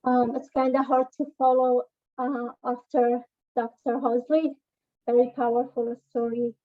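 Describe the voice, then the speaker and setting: Woman in her thirties from Afghanistan, panelist, bright high register, muffled call audio. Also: little pitch movement, unhurried. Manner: earnest, with frequent fillers and restarts. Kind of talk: personal story and poem recital on a panel. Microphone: video call.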